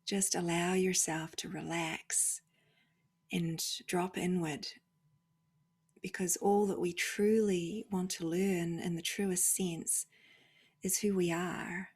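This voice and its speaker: A woman gently guides a meditation in a breathy, slightly low voice. She speaks very slowly, leaving long pauses and often sinking into a soft near-whisper. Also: fairly even intonation. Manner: unhurried, with long pauses between phrases.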